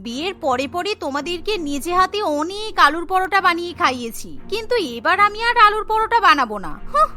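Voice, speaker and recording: A feisty young cartoon wife, acted by a woman with a very high, bright voice. She is scheming and emphatic, and music plays clearly behind her. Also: lively intonation, a little fast, hardly any pauses, exaggerated voice. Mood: defiant. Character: feisty, scheming young Bengali daughter-in-law. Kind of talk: animated cartoon story voice acting.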